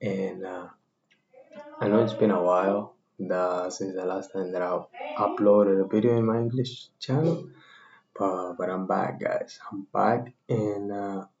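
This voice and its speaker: A lower-voiced man talks through his chart in a quiet, near-whispered tone, keeping it down at home at night. He explains step by step, often restarting his sentences. The sound is dull and lo-fi, with a faint hiss underneath. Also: steady tone, unhurried, gravelly. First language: Spanish. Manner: hushed, half-whispering to avoid waking family; repetitive restarts, methodical explaining. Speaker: trading vlogger. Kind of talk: screen-share trade breakdown. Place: home at night with family nearby.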